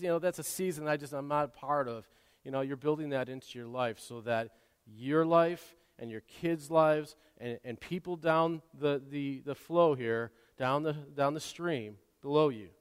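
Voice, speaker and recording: A man's voice: fairly high pitch, clear, resonant tone. Preaching man in a reverberant hall. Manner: swings from quiet asides to loud, impassioned exhortation; repeats and restarts words often. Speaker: pastor. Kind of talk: sermon.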